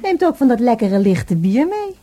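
A cooing, high-voiced flirtatious callgirl. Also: big pitch swings, moderate pace, dark tone. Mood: coaxing, flirtatious. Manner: coaxing, cooing, teasing. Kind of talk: radio play acting.

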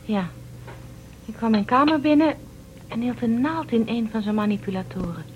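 High-voiced, emotional young woman space traveller acted. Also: expressive, moderate pace, lo-fi sound, a little hiss or hum, natural voice. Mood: dreamy, confiding. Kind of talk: Dutch radio drama acting.

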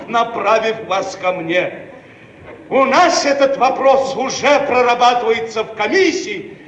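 An actor playing a pompous, self-important Soviet bureaucrat, irritable and fawning, in an old radio stage comedy. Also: male voice, very high-pitched, a bit bright, heavily acted. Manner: pompous, officious bureaucratic phrasing. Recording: roomy archive radio recording, distant, faint noise.

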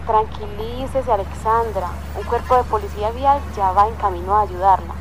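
A woman acting in a radio drama as a reassuring highway police dispatcher with a formal, calming manner. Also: normal speed, background noise, close to an everyday voice.

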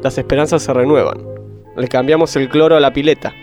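A man's voice: mid-range voice, fairly even intonation, fairly quick, a little mellow, soft background music, natural voice. A man with an Argentine accent narrating a comic radio play as a solemn, dramatic announcer. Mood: mock-solemn.

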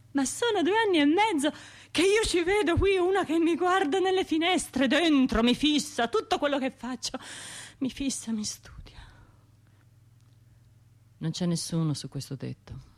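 Troubled woman who cant sleep, brooding radio play monologue, talks to herself in little broken bits. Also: high voice. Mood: uneasy, obsessive. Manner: brooding, self-talking, broken short phrases, dropping to whispers. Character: troubled, sleepless woman of Florence haunted by a bombing. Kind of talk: radio drama monologue, intimate and natural.

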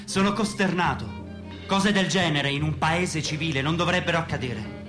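An actor playing a solemn, grandiose orator in mourning, declaiming theatrically in a radio drama. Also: male voice, on the high side, normal speed, natural voice. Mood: mock condolence. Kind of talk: Italian stage-style radio drama monologue. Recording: music playing behind the voice. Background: instrumental music.

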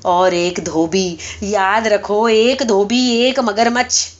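A man's voice: high-pitched, steady tone, highs cut off, close to an everyday voice. A woman voicing an exasperated male petitioner in a story, insistently raising the voice and repeating words to be understood. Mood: insistent, irritated.

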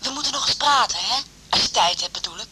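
A very sharp telephone voice with faint noise, an older grandmother in a radio drama. She speaks rather high, fretting and apologising. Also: expressive, fast, poor audio. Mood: fretful, apologetic. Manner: fretful, apologetic. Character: apologetic, fretful grandmother, the doctor's mother. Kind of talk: naturalistic radio drama dialogue.

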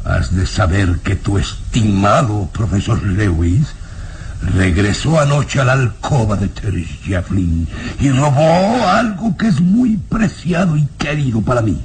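An actor playing a menacing, mocking baron who interrogates slowly and insinuatingly in a radio drama. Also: male voice, lowish voice, expressive, a little mellow. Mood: angry, threatening. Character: menacing, mocking baron, father of a grown daughter. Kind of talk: radionovela acting. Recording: noisy old radio drama recording.

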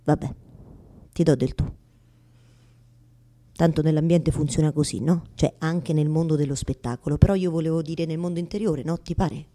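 A woman's voice: low voice, fairly even intonation, normal speed, pauses often, mellow, natural voice. An actress performing a neurotic, lovelorn woman in a rambling, colloquial theatrical radio monologue addressed to God, full of repetitions and tag questions. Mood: pleading, wry. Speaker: stage actress in a solo monologue.

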